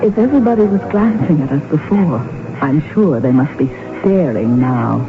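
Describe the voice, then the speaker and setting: An actress playing a witty, outspoken countess with a formal, precise manner, in the style of radio drama. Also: female voice, low-pitched, lively intonation, moderate pace. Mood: wry, pointed. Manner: formal, arch, precise diction. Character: witty, outspoken countess from an impoverished noble house. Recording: muffled old radio broadcast, music behind. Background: music.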